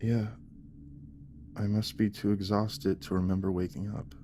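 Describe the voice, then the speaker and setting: A man's voice: on the low side, little pitch movement, measured pace, mellow, a little hiss or hum, natural voice. An actor playing an exhausted young father in an audio drama, placating and puzzled, in an understated conversational way. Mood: weary, uneasy. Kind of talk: naturalistic audio drama acting.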